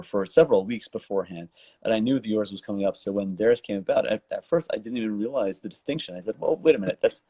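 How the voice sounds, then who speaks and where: Low, mellow-voiced man hosting an interview. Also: husky, no room sound. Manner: halting, wordy, roundabout questions. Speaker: talk show host, interviewer. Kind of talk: casual online interview.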